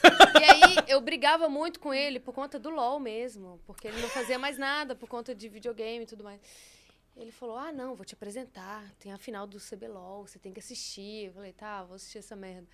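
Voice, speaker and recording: Guest, female, candid and relaxed, slightly high voice. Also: a little fast, a little hiss or hum. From Minas Gerais, Brazil. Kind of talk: casual podcast chat.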